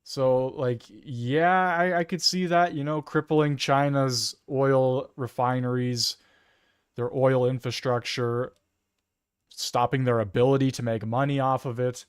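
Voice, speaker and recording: Canadian man, very clear dry voice. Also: mid-range voice, fairly even intonation, unhurried, no room sound. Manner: opinionated, informal. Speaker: co-host commentator. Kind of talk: casual live political commentary.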